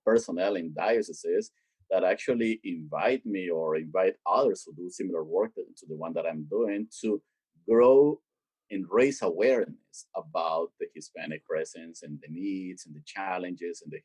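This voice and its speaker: A man's voice: low voice, normal speed, husky, no room sound, poor audio. A man speaking as a theologian guest in an interview, explaining his work in a conversational way and growing emphatic as he makes his points. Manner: grows animated and emphatic when making a point. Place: lives in Boston.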